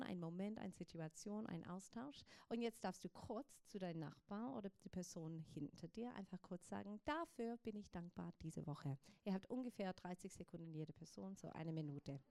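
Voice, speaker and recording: A woman's voice: mid-range voice, creaky, dry recording. Australian woman preaching in learned German. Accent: Australian, speaking German as a learned language. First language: English. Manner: chatty and warm with many ums, soft and slow in prayer. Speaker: pastor, guest speaker. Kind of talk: casual sermon and prayer to a congregation.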